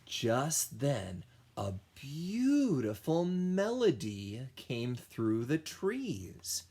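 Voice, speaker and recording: A man reading a picture book aloud to young children as their music teacher, expressively and with dramatic pauses. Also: mid-range voice, wildly swooping pitch, noisy background.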